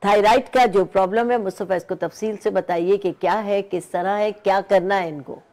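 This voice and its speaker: A woman's voice: medium pitch, steady tone, moderate pace, darkish tone. A woman appearing as a studio guest, giving health advice in a hesitant, explanatory way with many fillers.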